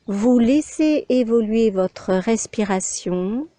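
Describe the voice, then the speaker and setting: A woman instructor guiding a yoga nidra meditation in calm, measured short phrases with pauses between them. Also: fairly high pitch, no echo.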